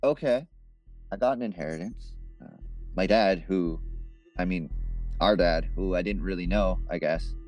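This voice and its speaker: An actor playing a defensive, awkward man in his thirties obsessed with ghosts, speaking haltingly in a naturalistic audio drama style. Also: deep voice, darkish tone, soft background music, rough recording. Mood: reluctant, halting. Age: 30s. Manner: hesitant, halting, self-correcting.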